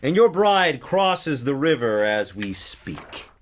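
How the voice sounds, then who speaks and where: A man's voice: mid-range voice, very animated, slow pace, slight background noise. A theatrical actor rehearsing a Greek myth drama in a video game. He declaims as a silky messenger god, his mid-range voice rising and falling very freely. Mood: grand, solemn.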